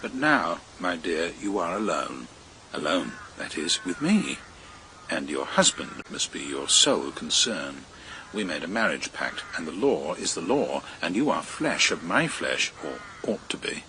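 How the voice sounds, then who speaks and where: An actor playing a cold, sardonic, jealous nobleman in a period radio drama, sneering and theatrical, with a raspy voice. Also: sits low, very animated, a little slow, bright, forceful tone, slight background noise, exaggerated voice. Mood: cold, possessive. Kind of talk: period radio drama acting, narration and dialogue.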